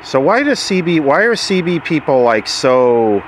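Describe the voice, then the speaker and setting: A man's voice: fairly high pitch, sing-song, a little slow. An American man chatting casually outdoors in a plainspoken, wry way. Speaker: vlogger testing a vintage CB radio. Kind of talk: casual chat. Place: outdoors at a table. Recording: faint background noise.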